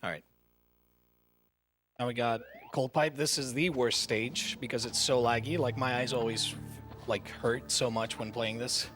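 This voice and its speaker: A man's voice: mid-range voice, fairly even intonation, measured pace, fairly bright tone. A man giving casual, conversational live commentary on a speedrun at a gaming marathon. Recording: game music behind the voice.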